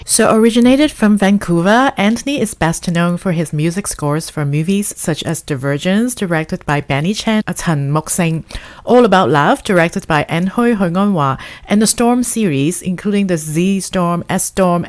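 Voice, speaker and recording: A woman hosting a casual podcast interview, asking questions with frequent fillers. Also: lowish voice. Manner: reads a long guest introduction, with fillers. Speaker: podcast host.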